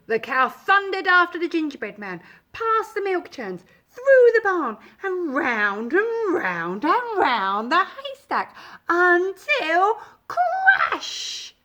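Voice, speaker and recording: Female children's storyteller: high voice, emphatic and dramatic, unhurried delivery. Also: huge pitch swings, muffled recording. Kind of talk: expressive children's storybook reading.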